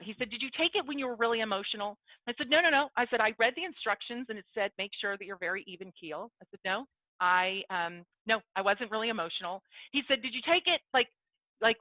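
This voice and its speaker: A woman's voice: a little high, dry recording. A woman in her thirties talks in a chatty, self-deprecating way, acting out both sides of a conversation as she tells it. Her voice comes over a video call. The sound is dry and squeezed into a narrow phone-like band. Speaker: business networking speaker. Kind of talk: casual motivational talk. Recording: dry, tinny phone-like audio.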